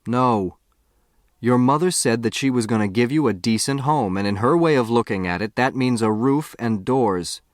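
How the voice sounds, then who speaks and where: A man's voice: mid-range voice, normal speed, no echo, lightly acted. A hearty pioneer father, voiced by a man narrating a novel, proud and stubborn. He speaks emphatically and declaims his points, in a dry, close recording. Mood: firm.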